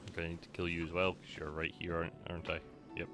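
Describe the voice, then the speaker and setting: A man's voice: low-pitched, monotone, racing, music in the background. A man streaming a video game, giving casual commentary with joking, rambling asides.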